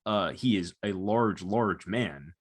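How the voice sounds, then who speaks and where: Low-voiced young male podcast host. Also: slow pace. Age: 20s. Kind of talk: casual analytical sports chat.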